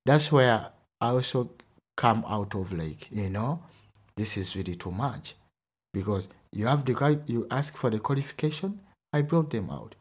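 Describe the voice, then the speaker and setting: Ugandan guy talking earnest in a podcast, kinda husky, middle pitch. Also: lively intonation, moderate pace. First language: Luganda. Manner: earnest, emphatic, with hesitant restarts. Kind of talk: podcast conversation.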